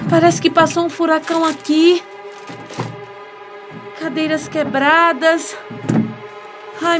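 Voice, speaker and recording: A woman playing an anxious, concerned churchgoer in a radio soap opera. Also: extremely high pitch.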